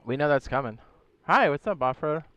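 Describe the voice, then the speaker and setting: A man streaming a game, chatting casually and reading viewer comments, hoarse with a sore throat and losing his voice, often clearing his throat. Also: fairly high pitch, animated, fairly quick, a bit bright, no echo, dull audio. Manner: laid-back, slangy, frequent throat clearing.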